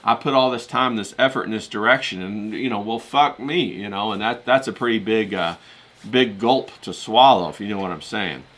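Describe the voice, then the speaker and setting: Male streamer, lowish pitch, very bright punchy tone, folksy and colloquial, chatty rambling solo delivery. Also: normal speed. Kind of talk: casual chatty livestream monologue.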